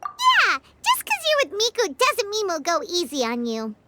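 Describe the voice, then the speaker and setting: A woman voicing a tiny, excitable floating companion girl who talks in a bright, squeaky way in a video game. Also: normal speed, slight background noise, exaggerated voice. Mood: eager.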